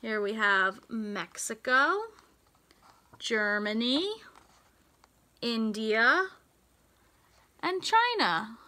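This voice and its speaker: An American woman reading a picture book aloud to young children, in a deliberate, sing-song teacher's delivery with emphasized words. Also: fairly high pitch, animated, sharp tone. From United States. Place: small indoor room with racks of hanging clothes.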